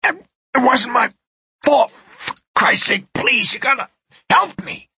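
A man voicing a frightened older man with a heart condition, pleading and shouting in pain in a gravelly, husky voice. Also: mid-range voice, sing-song, moderate pace, exaggerated voice. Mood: begging. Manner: strained, pleading, raising his voice in pain.

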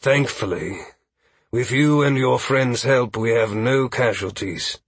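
Weary village leader, acted by a male narrator, grave, sighing, husky, for a fantasy audiobook. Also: medium pitch, slow, no room sound. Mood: weary relief.

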